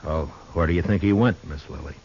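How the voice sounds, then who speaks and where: Actor as old-time radio western marshal, american, really low voice, grave n laconic, dull vintage recording. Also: male voice, a little mellow, slight background noise, natural voice. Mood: calm, questioning. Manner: measured, laconic, plain-spoken. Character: grave, steady frontier marshal narrating a western. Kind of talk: 1950s American radio drama acting and first-person narration.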